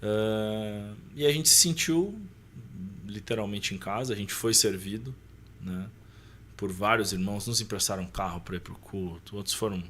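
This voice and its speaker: Podcast guest: Brazilian man, thirties, low-pitched, slangy and conversational, some room noise behind. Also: moderate pace, fairly bright tone. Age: 30s. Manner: colloquial, slangy, often seeking agreement with short tags. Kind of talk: casual personal storytelling.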